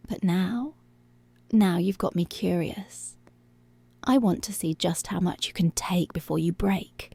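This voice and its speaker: Casting: actress for dominant, seductive policewoman in ASMR roleplay. Unhurried menace, gravelly fry, teasing commands that drop to a whisper. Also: on the low side, dark tone, no room sound, full character voice. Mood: taunting, seductive. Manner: commanding, seductive, drawn-out taunting, slipping into whispers. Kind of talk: intimate ASMR roleplay, slow and breathy.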